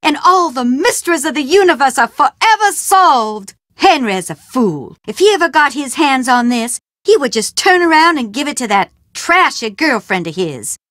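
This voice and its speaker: Sly housekeeper schemer for a game, lively drawling delivery, bright pitch, close dry read. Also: female voice, normal speed, hardly any pauses, dry recording. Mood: gloating, scheming. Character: scheming Southern housekeeper woman, mystical, secretive. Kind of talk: video game voice acting.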